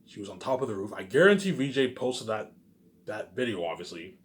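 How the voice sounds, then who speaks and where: A man's voice: lowish voice, sing-song, a little fast. A man rambling casually in a monologue, boastful and emphatic, repeating himself and asking questions that he answers himself. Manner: boastful, profane. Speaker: vlogger.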